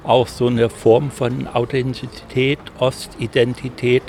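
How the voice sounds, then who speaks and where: A male interviewer asks probing questions in a relaxed, conversational way. His voice is heavily gravelly with vocal fry. Clear traffic and outdoor noise run behind him. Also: medium pitch, measured pace. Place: venue in Rostock. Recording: noisy outdoor recording.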